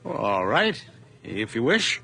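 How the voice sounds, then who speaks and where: Elderly male farmer, kindly, lonely, folksy, plain-spoken, animated pitch, radio drama, slight noise. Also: slow, creaky, full character voice. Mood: friendly. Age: older. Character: kindly, lonely old widowed farmer, gently weary. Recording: radio drama with faint noise, farm ambience.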